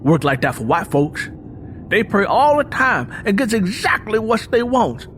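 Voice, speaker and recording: A man's voice: high-pitched, very animated, unhurried, fairly bright tone, a little hiss or hum, voice pushed up, heavily acted. Man acting out a bitter, hot-tempered farmer. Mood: angry, bitter. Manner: combative, folksy rural speech. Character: proud, bitter, hot-tempered young farmer who drinks. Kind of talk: dramatised audiobook dialogue.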